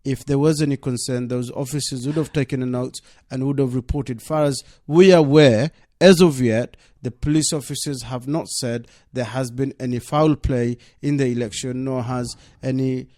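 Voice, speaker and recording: A man's voice: medium pitch, fairly even intonation, moderate pace, hardly any pauses, darkish tone, no room sound. A man whose first language is Bengali, a deputy mayor answering interview questions earnestly in a radio studio and leaning on "obviously". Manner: earnest, leans on "obviously", insistent.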